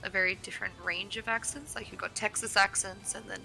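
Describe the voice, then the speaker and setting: A woman's voice: medium pitch, steady tone, a little fast, gravelly, sharp tone, soft background music, poor audio. A young woman born in England with an Australian accent, co-casting a card game stream in playful, teasing casual chat. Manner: playful, laughs often, teasing. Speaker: co-caster.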